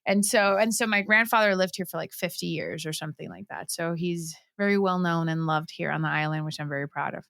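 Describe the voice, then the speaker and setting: Lowish-voiced woman from Puerto Rico. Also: brisk, vocal fry, hardly any pauses, a bit bright, dry recording, clean studio sound. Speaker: podcast guest, filmmaker. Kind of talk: conversational personal storytelling.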